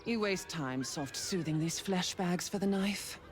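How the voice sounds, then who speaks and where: A woman playing a sadistic cult murderess in a fantasy video game, taunting with drawn-out menace. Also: on the low side, over music, close to an everyday voice. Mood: menacing, gloating. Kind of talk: video game villain acting.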